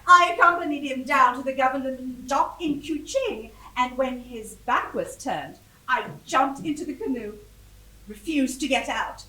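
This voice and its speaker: Actress as a haughty, animated colonial lady. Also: high-pitched, exaggerated voice. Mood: proud, amused. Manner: imperious, deliberate, vividly dramatic storytelling. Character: haughty, spirited English colonial Rani, jealous and proud. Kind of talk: theatrical monologue. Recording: echoey room, distant microphone.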